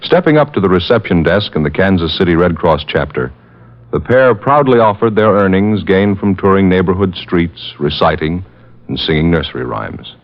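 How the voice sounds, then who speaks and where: A man's voice: deep voice, animated, normal speed. An American man reading scripted announcements in the formal style of 1950s radio. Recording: lo-fi vintage radio, music behind.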